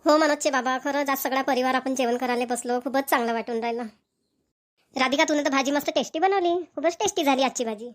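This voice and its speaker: A woman's voice: high voice, fast, close to an everyday voice. A woman voicing a chatty village wife in a family cartoon, with a Varhadi Marathi accent and a plain, homely manner. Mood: homely, caring. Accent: Varhadi Marathi, Vidarbha.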